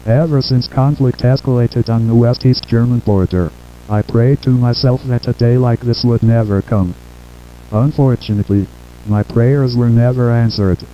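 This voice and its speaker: A synthetic man's voice playing a solemn president giving a formal, measured wartime address to the nation. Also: mid-range voice, natural voice. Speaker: synthesized voice reading a president's statement. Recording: dull lo-fi broadcast with hum and noise.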